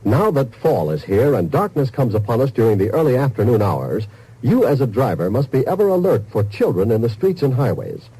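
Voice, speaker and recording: Husky, emphatic male radio announcer. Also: medium pitch, sing-song, a little fast, dark tone, low-quality recording. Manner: earnest, emphatic. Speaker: public service announcer. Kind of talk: scripted 1950s radio safety announcement. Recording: old 1950s radio broadcast recording.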